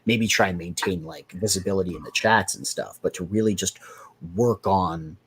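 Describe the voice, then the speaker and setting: A man's voice: low voice, normal speed, a bit bright, breathy edge. A man hosting a casual livestream, chatty and upbeat, reading out chat comments. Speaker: livestream host and comic creator.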